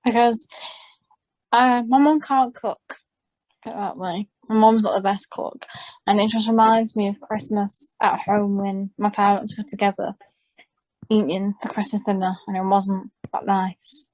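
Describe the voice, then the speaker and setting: Woman, podcast guest, dry plain delivery, mid-pitched. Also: fairly even intonation, a little slow, a little mellow, low-quality recording. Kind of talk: casual chat.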